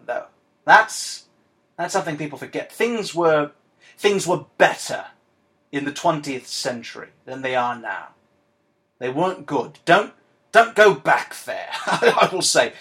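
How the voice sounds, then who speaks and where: A man playing a dead Argentinian woman writer as a comic podcast host, world-weary and scornful, with a halting, deadpan, exasperated delivery. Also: high voice, full character voice. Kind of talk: comic in-character monologue and dramatic reading.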